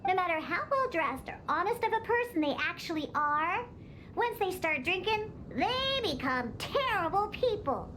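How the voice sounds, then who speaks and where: Young cat girl, very high voice, swooping intonation, bossy, indignant, cutesy game character, music behind. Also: sing-song, normal speed, bright, forceful tone, a little hiss or hum, full character voice. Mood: indignant, determined. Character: feisty young cat-girl bartender who despises alcohol. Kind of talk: video game character acting.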